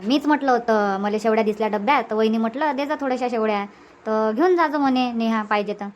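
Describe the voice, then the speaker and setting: A woman's voice: fairly high pitch, quick pace, close to an everyday voice. A woman voicing a chatty village woman in a cartoon family comedy, speaking rural Varhadi Marathi. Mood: homely, asking.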